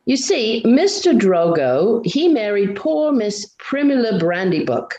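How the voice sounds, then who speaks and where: A woman voicing an old male hobbit gardener in a storybook reading, talkative and slow, with folksy village authority. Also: a little high, sing-song, dark tone, dull audio. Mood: gossipy, solemn. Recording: roomy indoor echo.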